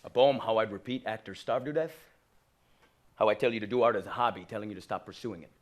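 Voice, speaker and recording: A man voicing his aging immigrant father, speaking quietly in halting, emotional apologies. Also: on the low side, pitched below the performer's own voice, close to an everyday voice. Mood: regretful. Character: gentle aging immigrant father, soft-spoken.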